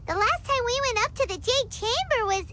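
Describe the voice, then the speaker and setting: A woman voicing a tiny, excitable, chatty fairy companion in a video game, with a squeaky childlike voice. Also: moderate pace, noisy background. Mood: resigned, chatty. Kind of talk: scripted video game voice acting. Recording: loud game music underneath.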